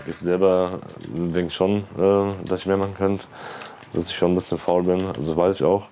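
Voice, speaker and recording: Young guy early 20s, really low soft-toned voice, laid-back interview chat. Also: little pitch movement, normal speed, a little hiss or hum. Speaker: documentary participant. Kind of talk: casual interview talk.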